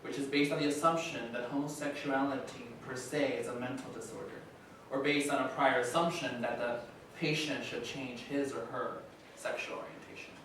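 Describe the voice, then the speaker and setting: A man playing a formal announcer, reading an official statement in a measured way. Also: medium pitch, fairly even intonation, fairly bright tone, natural voice. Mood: official, neutral. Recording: very echoey hall, distant mic, noisy.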